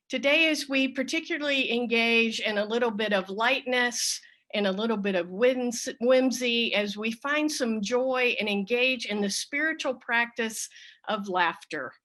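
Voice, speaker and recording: Woman doing a church service welcome, crisp bright voice, really unhurried and measured. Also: fairly high pitch. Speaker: worship service leader.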